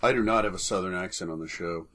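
A man's voice: sits low, quick pace, fairly bright tone, raised voice, slight background noise. A married man co-hosting a podcast in casual chat, swearing often and bursting out in exasperation. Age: adult, married. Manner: profane, exasperated outbursts. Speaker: podcast co-host.

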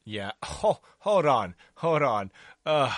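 A man in his forties from the American South, joking and cursing his way through loose podcast chatter. His voice is bright and forward, recorded close and dry with no room sound. He rambles and restarts, laughing at his own stories. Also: medium pitch, big pitch swings, slow, no echo. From Southern United States. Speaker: podcast co-host. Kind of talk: casual podcast banter.

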